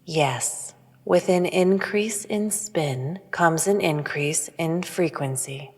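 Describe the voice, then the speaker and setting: A woman playing a cryptic, all-knowing otherworldly being in a sci-fi audio drama, speaking in a measured, formal, superior way. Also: sits low, darkish tone, full character voice. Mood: serene, instructive. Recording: long reverb over music.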